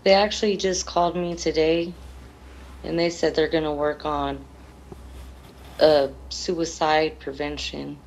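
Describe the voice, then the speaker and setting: Low-pitched grieving woman, gravelly voice. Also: flat delivery, a little slow, long pauses, a little mellow, muffled recording, slight background noise. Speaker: interviewee, grieving mother. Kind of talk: emotional television interview.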